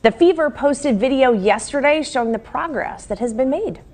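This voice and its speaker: Female news anchor, American, higher-pitched voice, scripted local newscast delivery. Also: animated, moderate pace, a little mellow.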